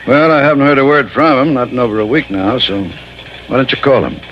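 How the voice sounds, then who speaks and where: An actor playing a gruff, frustrated American police sergeant in an old radio drama, blunt and colloquial. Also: male voice, medium pitch, brisk, close to an everyday voice. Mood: resigned, helpful. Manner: blunt, colloquial, punchy fragments. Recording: muffled, noisy old radio recording.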